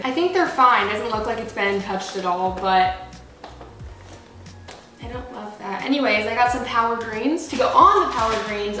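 A woman's voice: mid-range voice, fairly bright tone. A woman of twenty chatting casually in her home kitchen as a vlogger, using lots of "like" fillers, recorded by a camera a few feet away. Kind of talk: casual grocery haul chat. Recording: echoey kitchen, distant mic.

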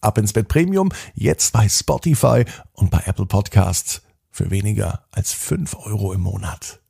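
Man, bedtime storyteller, gravelly, husky, soft-spoken, calm children's narration. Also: on the low side. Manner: gentle, slow storytelling addressed to kids. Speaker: podcast host and storyteller.

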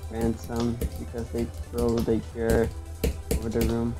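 A friend talking over voice chat in a slow, hesitant way, often starting over. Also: medium pitch, monotone, over music. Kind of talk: casual chat.

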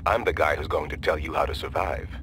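A secretive insider guide in a video game. His deep voice gives terse, knowing instructions with calm urgency. Background noise is clearly audible. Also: quick pace. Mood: knowing, urgent. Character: terse, knowing male insider guiding by radio.

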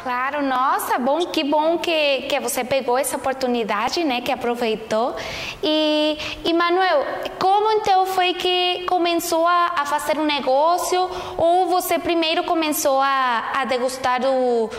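A woman's voice: high voice, unhurried, slight background noise. A young woman hosting a studio interview with a lapel mic, asking friendly questions with small hesitations. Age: young adult. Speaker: TV host. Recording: echoey studio with long reverb.

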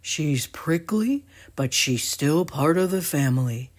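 A woman voicing a patient, gentle male steer who reassures in a measured way, for a storybook. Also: sits low, animated, exaggerated voice. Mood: gently firm.

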